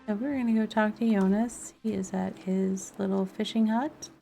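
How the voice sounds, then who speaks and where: A woman's voice: mid-range voice, steady tone, normal speed, vocal fry, mellow. A woman doing casual live gameplay commentary, thinking aloud and musing to herself as she plays. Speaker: game streamer. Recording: music behind the voice. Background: background music and game sound.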